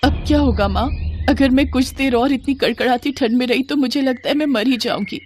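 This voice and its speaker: A woman's voice: sits high, fairly quick, darkish tone, slight background noise, voice pushed up, lightly acted. A woman voicing a fragile, grieving young sister in a storybook tale, speaking plaintively. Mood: fearful.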